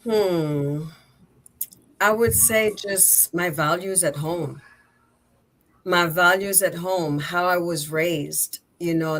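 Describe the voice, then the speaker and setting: A middle-aged woman with a Haitian accent, a native Creole speaker, answering conversationally as an interview guest. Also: sits low. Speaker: guest, nonprofit executive director. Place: remote video call.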